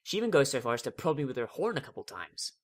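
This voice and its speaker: A narrator gives a dramatic reading of a story. The delivery is theatrical and expressive, with a wide-ranging mid-pitched voice. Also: fast talker. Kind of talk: dramatic audiobook-style narration of fan fiction.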